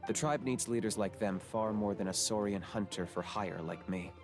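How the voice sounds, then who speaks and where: A man as a cool, low-voiced young hunter. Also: close to an everyday voice. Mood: matter-of-fact. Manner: measured, matter-of-fact, serious. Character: cool, pragmatic young male hunter for hire. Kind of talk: English video game dub acting. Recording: music behind the dialogue.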